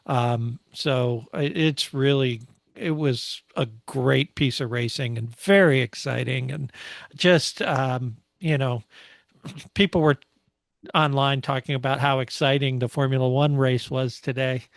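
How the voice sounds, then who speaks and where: A man's voice: medium pitch, unhurried, creaky, no room sound, studio quality. A man co-hosting a motorsport podcast, recapping a race in casual, enthusiastic conversation.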